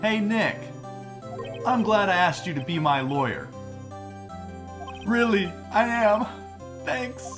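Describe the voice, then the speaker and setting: A man's voice: high-pitched, big pitch swings, takes it slowly, pauses often, music in the background, heavily acted. A man voicing a whiny, lovelorn young slacker with an overwrought, slangy delivery in a fan-dubbed game. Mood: subdued. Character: melodramatic, whiny young male slacker, lovelorn best friend. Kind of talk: fan-dub video game dialogue.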